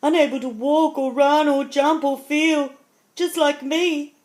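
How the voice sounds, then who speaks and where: A woman's voice: sits high, fairly even intonation, measured pace, darkish tone, voice pushed up. Storybook stone lion, male, wistful and tender-hearted, earnest delivery, played by a woman reader. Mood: anguished pity. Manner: earnest, yearning. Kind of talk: storybook character voice.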